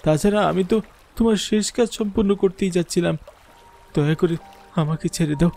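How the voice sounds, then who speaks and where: A man's voice: high-pitched, moderate pace, gravelly, dark tone, slight background noise. A man playing a frightened schoolteacher who tells his ghost story in the first person, with dramatic delivery, for a horror cartoon. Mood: frightened pleading. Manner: dramatic, fearful, pleading when in dialogue. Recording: music bed under the voice. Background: music.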